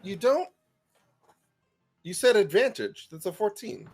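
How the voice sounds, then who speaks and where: A man's voice: sits high, sing-song, measured pace, darkish tone, faint music behind. A man running a tabletop game as game master, teasing the players with dry humor.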